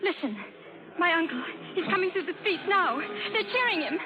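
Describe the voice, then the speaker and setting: A woman's voice: very high voice, lively intonation, moderate pace. An actress playing an earnest, genteel young lady in love in a 1930s radio drama. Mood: urgent, loving. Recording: tinny 1930s radio broadcast.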